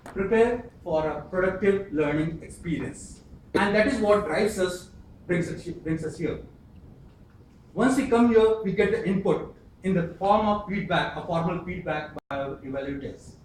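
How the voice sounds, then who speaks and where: Man speaking in an echoey hall. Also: sits high. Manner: earnest, emphatic, pausing often. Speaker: club speaker. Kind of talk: prepared speech. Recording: echoey room, distant mic, faint hiss.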